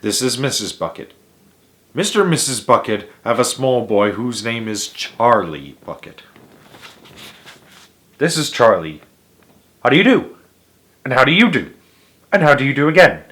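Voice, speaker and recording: A man narrating a children's storybook aloud in a theatrical, emphatic way, with dramatic pauses and stretched words. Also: medium pitch, very animated, fairly bright tone. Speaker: host of a read-aloud story series.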